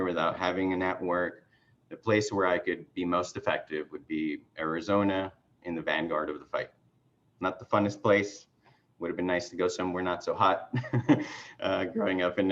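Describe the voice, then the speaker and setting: Deep-voiced man rambling on a video call. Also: fairly bright tone, dull audio. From Mexico. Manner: rambling, halting with many fillers and restarts. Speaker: party chair candidate. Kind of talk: casual conversational self-introduction.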